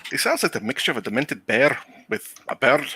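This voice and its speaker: A man from Europe, teasing and sardonic. His pitch moves a lot, and his voice is gravelly and bright. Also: big pitch swings, a little fast, a little hiss or hum. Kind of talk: casual banter on a stream.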